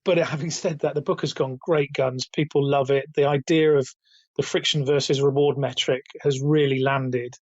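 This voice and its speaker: A man in his fifties with a raspy, somewhat high voice after illness, talking hesitantly with many restarts as an interview guest. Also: steady tone, normal speed, no echo. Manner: hesitant, many restarts, chuckles between points. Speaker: guest, retail author and company founder. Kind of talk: casual interview over a call. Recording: dull, dry remote call audio.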